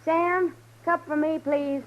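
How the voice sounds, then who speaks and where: A woman's voice: high voice, steady tone, slow pace, lo-fi sound. Wry frontier saloon woman. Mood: wry, teasing. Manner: dry, teasing. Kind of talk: 1950s radio western acting.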